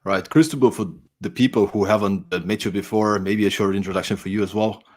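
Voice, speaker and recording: A man's voice: on the low side, fast talker, darkish tone. Upbeat male panel moderator. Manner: upbeat, lifts his voice sharply when asking questions. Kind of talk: hosting a live-streamed panel.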